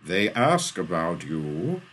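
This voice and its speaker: A man's voice: medium pitch, huge pitch swings, a little hiss or hum, pitched above the performer's own voice. Man voicing a thundering, imperious disembodied sorceress. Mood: menacing, mocking. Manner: slow, loud, thundering, imperious. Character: powerful disembodied sorceress sister, menacing, mocking, implacable.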